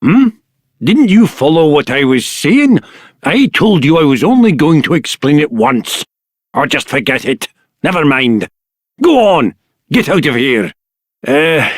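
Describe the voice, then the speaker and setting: An actor with a British accent playing a gruff, short-tempered mountain workman in a video game, blunt and conversational. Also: mid-range voice, very animated, slow pace, no room sound, clean studio sound. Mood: irritated, then sheepish. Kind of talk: video game character acting.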